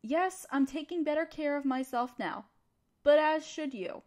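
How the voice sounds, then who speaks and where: Female college study-partner crush, played by a woman for intimate audio roleplay: high-pitched, conversational, teasing yet supportive, taking her time. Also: a little slow, darkish tone. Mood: gentle, reassuring. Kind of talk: intimate scripted roleplay acting addressed to the listener.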